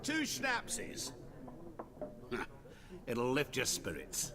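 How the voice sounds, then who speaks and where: An actor playing a smug, genial, mysterious tavern stranger in a video game. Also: male voice, medium pitch, huge pitch swings, extremely slow, sharp tone, slight background noise, exaggerated voice. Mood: jovial.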